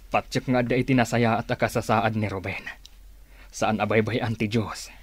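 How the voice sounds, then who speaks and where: A man acting in a radio drama as a bitter, vengeful character, speaking gravely and broodingly about his enemies. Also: fairly low pitch, fairly even intonation, moderate pace.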